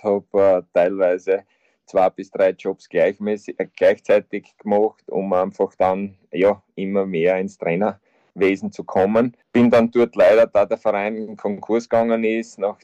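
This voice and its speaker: A man in his fifties with an Austrian accent talks as a podcast guest, pausing often with fillers and repeated words. His voice is low and dark and stays quite flat in pitch. The recording is dry and somewhat dull, with a lo-fi sound. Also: dry recording. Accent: Austrian German. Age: 50s. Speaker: football coach, podcast guest. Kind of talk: casual interview talk.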